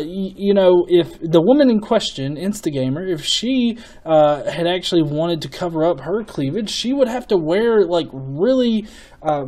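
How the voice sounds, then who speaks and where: A man's voice: on the high side, darkish tone. Rambling, profane young man. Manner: rambling, profane, stuttering restarts. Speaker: host. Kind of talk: casual commentary reading comments.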